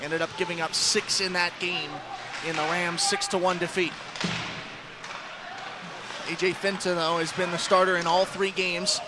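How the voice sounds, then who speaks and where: High-pitched American man calling live hockey. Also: flat delivery, normal speed, long pauses, heavy background noise. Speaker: play-by-play hockey announcer. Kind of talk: live radio sports commentary. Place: ice rink during a live game. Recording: music playing loudly behind live commentary.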